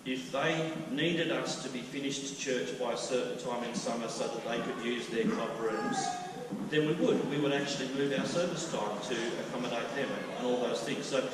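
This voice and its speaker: A man's voice: mid-range voice, moderate pace. Man, middle-aged, chatty church speaker, distant and noisy recording. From Australia. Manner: chatty, loud and storytelling. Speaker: guest speaker. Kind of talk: church talk. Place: church hall. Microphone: handheld mic. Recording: echoey hall from far off, with noise.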